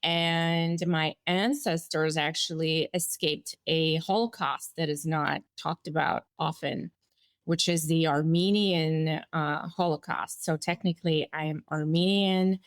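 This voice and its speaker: A woman's voice: deep voice, fairly even intonation, a little slow, dry recording, studio quality. A woman in her forties, born in Bulgaria and raised in the United States, telling her life story as a guest in a candid, reflective way. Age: 40s. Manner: reflective, candid, with small laughs and restarts. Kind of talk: personal storytelling interview.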